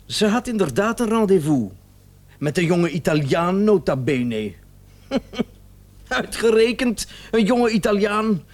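An actor playing a talkative, smug doctor with bitter irony and theatrical, drawn-out emphasis in a Dutch radio play. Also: male voice, a little high. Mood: sardonic. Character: smug, talkative doctor, bitterly sarcastic about his unfaithful wife.

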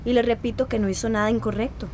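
An actress playing a calm, firm doctor with a Costa Rican accent, in a radio drama. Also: female voice, on the high side, fast, background noise, close to an everyday voice. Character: calm, firm female doctor counseling a family.

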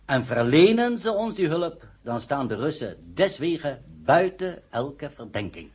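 A man in a radio play acting a formal, hard-headed, coldly logical council member with a husky voice. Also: a little high, sing-song, measured pace, darkish tone, exaggerated voice. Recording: dull old radio play recording.

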